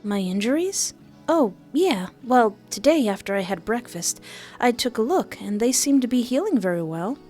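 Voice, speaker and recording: A woman's voice: normal speed, soft background music. A woman reading a visual novel aloud, voicing the narration and the dialogue expressively. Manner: expressive, shifts pitch for each character.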